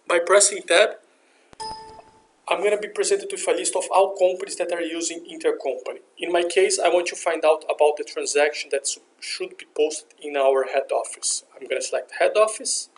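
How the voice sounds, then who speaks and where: A man with a somewhat high voice narrates a software tutorial. He explains each action step by step, in the manner of an instructor walking users through a procedure.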